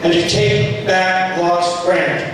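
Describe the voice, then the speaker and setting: A hoarse man giving an impassioned speech at a political group meeting, rallying the room and asking it questions. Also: high voice, little pitch movement, takes it slowly. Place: meeting hall. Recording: echoey hall from far off, noisy.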